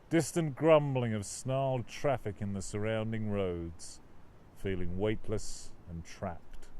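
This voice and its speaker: Male author-narrator, lower register, expressive and dramatic reading of literary fiction. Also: a little hiss or hum. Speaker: author reading own novel. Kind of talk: scripted audiobook narration.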